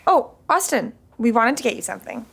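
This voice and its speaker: An actress playing an excitable, anxious young woman in a sitcom. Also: on the high side, creaky, breathy edge, exaggerated voice. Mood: animated. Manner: animated, quick to shout.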